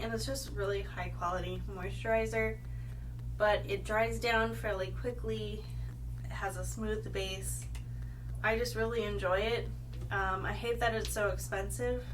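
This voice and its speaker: A woman's voice: mid-range voice, background noise. Congested woman reviewing makeup, distant mic. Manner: chatty, rambling, self-correcting. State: congested from a cold. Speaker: beauty vlogger. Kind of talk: casual product review to camera.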